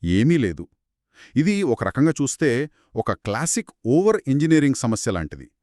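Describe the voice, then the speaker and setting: A man's voice: mid-range voice, very animated, moderate pace, darkish tone, no echo, studio quality. A man co-hosting a conversational story discussion in an analytical, explanatory way. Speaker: podcast co-host.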